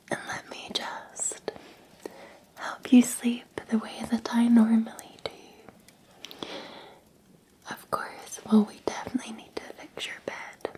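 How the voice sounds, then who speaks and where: A Scottish woman playing a gentle, attentive Victorian housemaid in an ASMR roleplay, in a soft, breathy, soothing whisper with polite deference. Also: fairly high pitch, poor audio. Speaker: ASMR performer.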